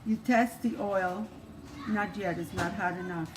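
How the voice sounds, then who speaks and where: A woman's voice: mid-range voice, measured pace. Older Cuban woman cooking at home. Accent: Cuban Spanish. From Cuba. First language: Spanish. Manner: homey and instructive, with frequent restarts. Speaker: grandmother sharing recipe and life story. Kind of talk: cooking demonstration and personal storytelling. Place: home kitchen. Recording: steady background noise.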